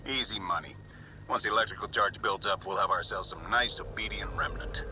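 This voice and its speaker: An actor playing a cocky, dismissive work-crew schemer in a video game, heard over a comm channel. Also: male voice, lowish voice, fairly quick, noisy background, natural voice. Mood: smug. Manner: smug, dismissive.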